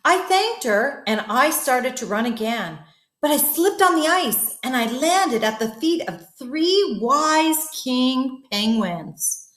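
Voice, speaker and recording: A woman with a fairly high voice reads a children's bedtime story at an unhurried pace. She speaks expressively and directly to young listeners. The room sounds noticeably echoey. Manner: addresses children, expressive, asks wondering questions. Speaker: guest bedtime story reader. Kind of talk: read-aloud storytelling for children.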